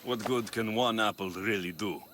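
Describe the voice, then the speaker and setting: An actor playing a blunt, determined Spartan mercenary in a video game, with a sardonic edge. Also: male voice, lowish voice, normal speed, fairly bright tone, a little hiss or hum, close to an everyday voice. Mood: friendly. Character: blunt, determined male Spartan mercenary searching for his mother.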